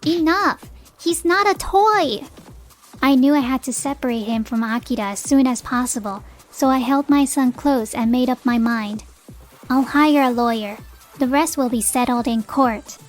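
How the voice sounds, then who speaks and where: A woman's voice: high-pitched, normal speed, lightly acted. A resolute young mother played by a woman narrates her story in earnest, dramatic manga dub style. She sounds like a wronged wife holding firm, with faint music underneath. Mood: angry, defiant, then determined. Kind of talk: scripted first-person narration, manga dub.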